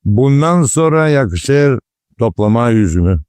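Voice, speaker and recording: A man's voice: mid-range voice, animated, measured pace, a little mellow, clear, resonant tone, dry recording, natural voice. A man voicing a kindly, practical old village grandfather in a children's cartoon, with a folksy, instructive way of talking. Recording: music behind the voice.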